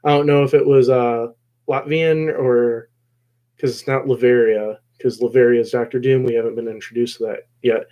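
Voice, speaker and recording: A man hosting a casual recap discussion of a show episode, rambling along and often pausing mid-phrase. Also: medium pitch, steady tone, warm tone. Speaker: host of a show discussion video.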